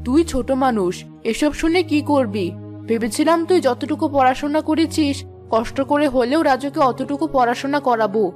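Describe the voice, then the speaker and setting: A woman's voice: high voice, normal speed, a little mellow, faint music behind, natural voice. A woman voicing a weary, worried mother of a poor family in a children's cartoon, with a resigned manner.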